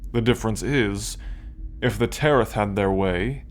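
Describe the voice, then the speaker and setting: An arrogant alien warlord acted by a man speaks in a low voice, very slowly and deliberately. He is commanding, condescending and possessive, in the intimate manner of an ASMR roleplay. Clear background noise and ambience sit behind him. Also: close to an everyday voice. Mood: proud, explanatory. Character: arrogant possessive male alien military conqueror. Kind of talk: ASMR roleplay, scripted monologue to listener.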